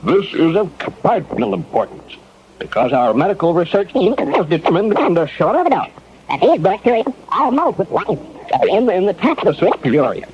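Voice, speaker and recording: A man's voice: sits high, sing-song, normal speed, darkish tone. An actor playing a male doctor in a 1970s radio drama, issuing a formal, emphatic, pedantic health warning. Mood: grave, insistent. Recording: dull, faintly noisy old radio tape.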